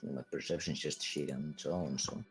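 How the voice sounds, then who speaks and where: A man with an extremely deep voice chats casually as a player in a tabletop game. He makes blunt, dry remarks, and faint music plays behind him. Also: fairly even intonation, moderate pace.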